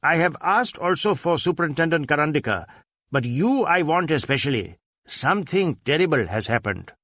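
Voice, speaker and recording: A man's voice: a little high, very animated, normal speed, fairly bright tone, no room sound, studio quality, voice pushed up, exaggerated voice. A man voicing a wealthy businessman in an audiobook, urgent, desperate and commanding, in clipped phrases. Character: agitated wealthy Indian businessman, imperious and desperate.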